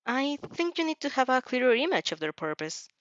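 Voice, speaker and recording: A woman acts out a patient female mentor from an audio story, guiding with slow, coaxing care. The sound is dry and slightly dull. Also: high voice, sing-song, no room sound, voice pushed up. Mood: hesitant. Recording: dull, muffled lo-fi recording.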